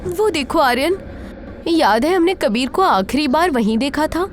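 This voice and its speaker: A woman's voice: high voice, animated, a little slow, slight background noise, voice pushed up. A woman voicing a frightened, grief-stricken young woman who is emotional but brave in a horror story. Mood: regretful.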